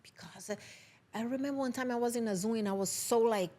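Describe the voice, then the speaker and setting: Brazilian-accented woman, halting podcast guest. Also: a little high, dark tone. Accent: Brazilian Portuguese. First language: Portuguese. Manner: halting, searching for English words, laughs often. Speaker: film producer guest. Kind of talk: casual podcast conversation.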